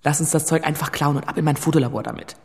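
A woman's voice: low-pitched, steady tone, rapid-fire, vocal fry, long reverb tail. An actress playing a detective's eager, cheeky female sidekick in a German radio crime drama, speaking colloquially. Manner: colloquial, exclamatory.

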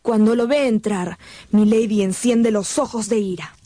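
A woman's voice: mid-range voice, moderate pace, mellow, no echo, clean studio sound. A woman narrating a radio drama in a scripted, dramatic storytelling style.

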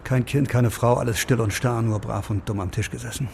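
A man's voice: mid-range voice, fairly even intonation, a little fast, creaky, darkish tone, close to an everyday voice. An actor playing an introverted man who despairs at facing death, muttering and brooding in a radio play over background noise. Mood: despairing. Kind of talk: German radio play acting.

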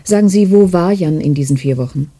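A woman's voice: on the low side, fairly quick, warm tone. An actress playing a patient's worried girlfriend in a radio play, composed and questioning, polite but skeptical. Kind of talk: 1990s radio drama acting.

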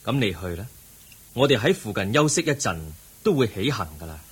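Radio drama: benevolent Buddha, very husky, lively intonation, kindly solemn delivery, Hong Kong Cantonese. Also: male voice, fairly low pitch, fairly quick, heavily acted. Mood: kindly, encouraging. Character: serene, benevolent Buddha on his journey.

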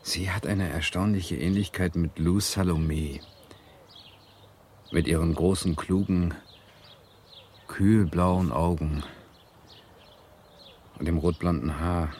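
An actor playing a wry, self-doubting Swedish literature lecturer who narrates a radio drama in a reflective, deliberate way. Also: male voice, low voice, steady tone, a little mellow, a little hiss or hum. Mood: fond. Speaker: narrator and lead character.

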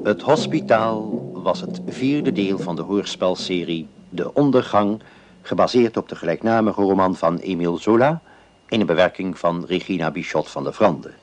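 A man reading radio drama credits as an announcer, in a formal, measured and deliberate way. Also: sits low, expressive, faint music behind.

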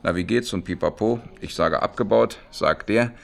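Blunt, gravelly, low-voiced streetwise man. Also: moderate pace. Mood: confiding, pushy. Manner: blunt, colloquial, wry. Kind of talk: German radio play dialogue.

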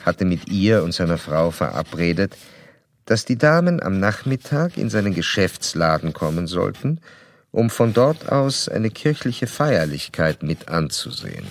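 An actor playing a composed, probing doctor in a radio play, reporting formally and clinically. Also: male voice, deep voice, big pitch swings, unhurried, dry recording, full character voice. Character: composed, probing male doctor narrating a case study.

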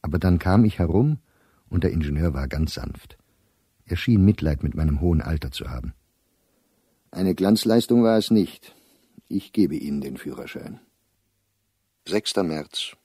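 Male, middle-aged diarist for radio drama: low, husky, anxious yet sober and precise. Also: lively intonation, moderate pace, long pauses, dark tone, no echo, clean studio sound, exaggerated voice. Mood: sober, resigned. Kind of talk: dramatic reading of a diary for radio.

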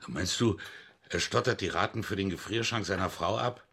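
A small-time male crook in a radio drama, with a deep, rough-edged husky voice. He is coarse, bitter and confrontational, forever accusing. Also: moderate pace, pushed and bright, heavily acted.

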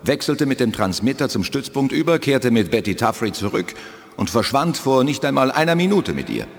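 A plain-spoken crewman in a radio play, calmly reporting to his commander. His intonation is lively and his voice hangs in a long reverb. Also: mid-range voice, a little fast. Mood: explaining. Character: matter-of-fact male crewman reporting events. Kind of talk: 1980s radio drama.

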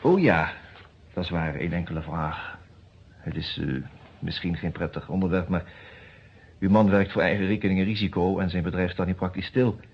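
A man acting a formal, cautious lawyer in a radio detective play, speaking in measured, businesslike phrases with hesitant pauses. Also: deep voice, little pitch movement, slight background noise. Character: formal, cautious male defence lawyer who also narrates. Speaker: actor in a radio detective serial. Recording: dull, muffled old radio recording.

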